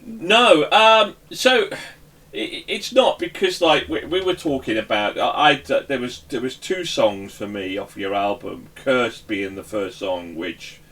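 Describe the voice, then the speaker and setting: A man's voice: mid-range voice, unhurried, a bit bright, distant mic. A man hosting a music show in a casual, chatty interview style, with a gushing, emphatic way of talking.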